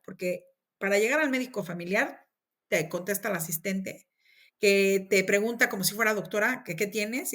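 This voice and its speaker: A woman with a Mexican accent telling her own story in a casual conversation, with lively acted-out dialogue. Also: medium pitch. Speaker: interview guest.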